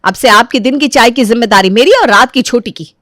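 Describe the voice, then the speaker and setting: A woman's voice: fairly high pitch, a little fast, a bit bright, no echo, clean studio sound, lightly acted. A woman playing a resentful, stubborn elder daughter-in-law who grumbles and argues sarcastically in a dramatized moral story. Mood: pleading, firm.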